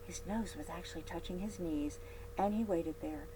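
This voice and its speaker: Low-voiced woman reading a storybook aloud. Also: normal speed. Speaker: library read-aloud host. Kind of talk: scripted storybook narration. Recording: steady background hum and noise.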